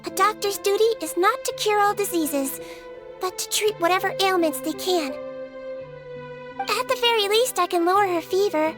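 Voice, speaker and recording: A woman voicing a small, childlike Melusine head nurse in a video game, gentle, earnest, polite and measured. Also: extremely high pitch, over music. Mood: resolute. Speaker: nurse and doctor character.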